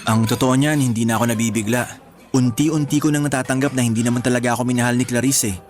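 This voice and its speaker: An actor playing a gentle, sincere young man in love in a Filipino radio romance, earnest and lightly teasing. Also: medium pitch, steady tone, fast, lightly acted. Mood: earnest, reflective. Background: music.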